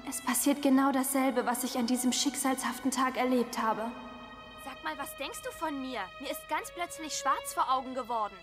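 A high, girlish voice rings with long reverb over clear music. It is an earnest, easily confused schoolgirl heroine acted by a woman in an anime audio drama. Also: moderate pace, natural voice. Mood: agitated.

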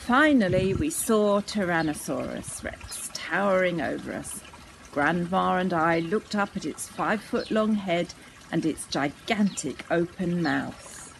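A woman's voice: on the low side, lively intonation, measured pace, gravelly, low-quality recording. A woman in her sixties reading a children's story aloud outdoors in a garden. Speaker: storybook reader. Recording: faint outdoor background noise.

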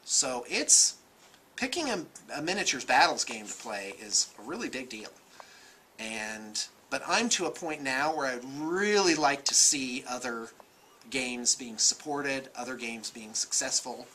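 An American man with a bright, crisp-edged voice at a middling pitch talks casually to his viewers. His delivery is conversational and animated, like someone thinking aloud and sharing personal opinions without a script. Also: a little slow, a little hiss or hum, low-quality recording. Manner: animated, gesturing, conversational, self-qualifying. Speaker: vlogger, miniatures shop owner. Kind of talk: casual off-the-cuff opinion talk. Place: indoor room with bookshelves.

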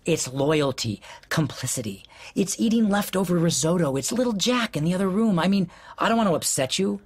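An actor playing an exasperated, sarcastic husband in an audio drama, hurt and profane in a late-night argument. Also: male voice, high-pitched, animated, brisk, breathy edge. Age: 40s.

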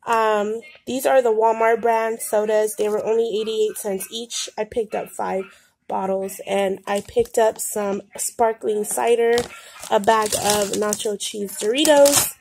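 A woman's voice: medium pitch, fairly even intonation, unhurried, vocal fry, darkish tone, slight background noise. A woman with a cold and a stuffed-up nose, chatting casually through a grocery haul at home, rambling with lots of ums. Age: adult with a daughter. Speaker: vlogger. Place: home, indoors. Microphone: phone camera pointed at groceries.

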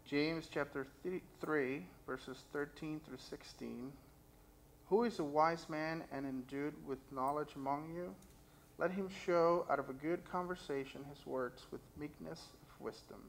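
A man's voice: on the high side, measured pace, creaky, slight background noise, low-quality recording. A young man preaching from a church pulpit, sharing his own story and reading scripture aloud with earnest feeling. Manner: earnest personal testimony, reads scripture aloud. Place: church sanctuary stage. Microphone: stand mic at pulpit, far camera.

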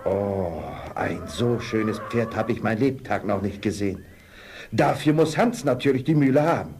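An actor playing a gruff, blustering older master miller in a fairy-tale audio play. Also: male voice, lowish voice, moderate pace, darkish tone, slight background noise, lightly acted. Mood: amazed. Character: gruff older master miller, haughty yet servile to nobility.

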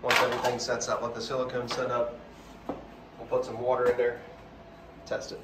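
A man's voice: medium pitch, monotone, moderate pace, background noise. A man explaining plumbing work plainly and practically while working in an unfinished basement. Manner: plain, practical, matter-of-fact. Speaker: co-host doing the plumbing. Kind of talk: casual DIY explanation.

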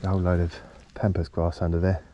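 A man speaks in a low, mellow voice, slightly affected by a cold. He chats in a relaxed, half-to-himself way as an angler filming his outing, with faint outdoor ambience behind him. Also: fast talker, dull audio, a little hiss or hum. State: has a bit of a cold. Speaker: angler filming a fishing vlog. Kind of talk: casual chat while fishing. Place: outdoors on a riverbank. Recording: outdoor action camera with background ambience.

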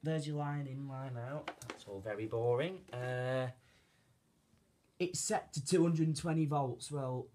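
A man's voice: medium pitch, a little slow, mellow. A young British man chatting casually and unscripted to camera as he hosts an unboxing vlog in a living room. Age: young adult. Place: carpeted living room, indoors. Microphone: camera a short distance away. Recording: noisy home camera sound with clicks.

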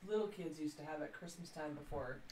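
A woman's voice: low-pitched, brisk, poor audio. Woman chiming in off-mic. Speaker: off-camera co-host. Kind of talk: casual chat. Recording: quiet, off-mic from further away.

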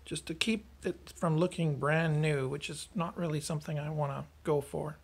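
A man's voice: fairly high pitch, steady tone, normal speed, vocal fry, slightly rough. A man giving a casual, hands-on demonstration as a hobbyist, thinking aloud a little hesitantly. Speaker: hobbyist restorer presenting a tutorial.

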